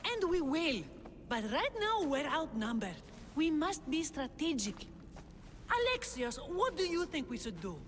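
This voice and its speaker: Kira, a fierce rebel leader. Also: female voice, high-pitched, very animated, unhurried, a little hiss or hum. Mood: commanding. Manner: sharp, teasing, commanding. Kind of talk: video game cutscene acting.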